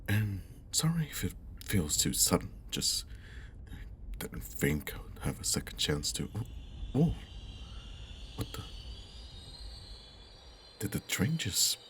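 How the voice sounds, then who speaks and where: A man playing a friendly, caring young old school friend in an ASMR roleplay, speaking softly and hesitantly with a breathy half-whisper, close to the mic. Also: extremely low pitch, a little hiss or hum, exaggerated voice. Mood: shy, hopeful, then puzzled. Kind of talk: ASMR roleplay, intimate one-sided conversation.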